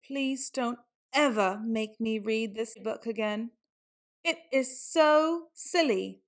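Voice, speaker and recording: A woman's voice: on the high side, slow. A woman reading a children's book aloud in a theatrical storytelling style, with exaggerated exclamations.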